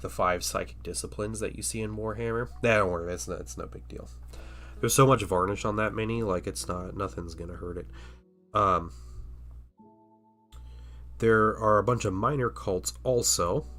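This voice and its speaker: A man's voice: sits low, a bit bright. A man with a stuffy cold and a nasal voice hosts a casual livestream while painting miniatures. He rambles, hesitates, and drops into murmurs as he works.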